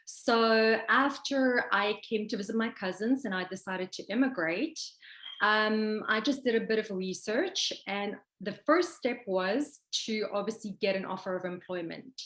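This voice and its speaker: A South African woman in her thirties chats as an interview guest, confident and upbeat. Her voice sits at a middle pitch and rings in an echoey room. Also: measured pace, a bit bright. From South Africa, Pretoria. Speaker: expat guest. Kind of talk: casual interview chat.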